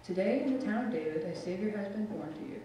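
A man reading a Bible passage aloud at a church lectern in a steady, measured way. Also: sits high, slight background noise. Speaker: scripture reader. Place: church sanctuary. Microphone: lectern microphone, far camera. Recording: echoey church from far off.

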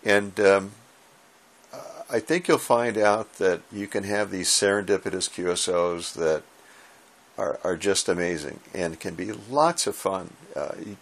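Male, 60s, American. Deep voice with vocal fry, halting conversational delivery, informal instructional talk. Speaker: ham radio hobbyist giving advice. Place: small indoor home radio room.

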